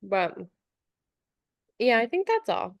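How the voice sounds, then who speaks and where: A woman's voice: on the high side, huge pitch swings, takes it slowly, vocal fry, no echo, clean studio sound. A woman chatting casually as a podcast co-host, chatty and giggly, with a slightly stuffy nose. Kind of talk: casual chat.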